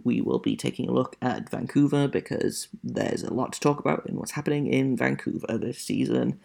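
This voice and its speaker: Gravelly chatty male podcast host. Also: medium pitch, darkish tone. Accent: non-American. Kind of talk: casual solo podcast commentary.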